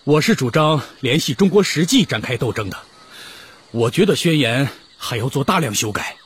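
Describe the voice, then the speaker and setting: A man's voice: mid-range voice, sing-song, normal speed, husky. An actor playing a firm, principled male communist delegate who debates assertively in a historical radio drama. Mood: firm, argumentative.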